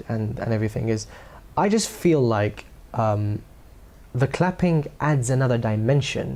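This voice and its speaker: A man speaks in an earnest, explanatory way, often restarting his sentences. His tone is dark and mellow, and he sits at an ordinary middle pitch. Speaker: reciter on tour. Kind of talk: reflective interview commentary.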